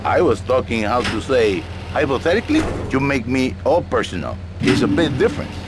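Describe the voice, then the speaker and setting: Grandiose Latin marriage guru, swooping melodramatic delivery, pompous, video game radio, heavy car noise. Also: male voice, medium pitch, very animated, a little fast. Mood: teasing, indignant. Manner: pompous, melodramatic, broken English. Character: flamboyant, vain Latin self-styled marriage guru, sleazy and grandiose. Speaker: talk radio guest. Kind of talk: satirical radio talk show acting. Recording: loud traffic and car noise behind.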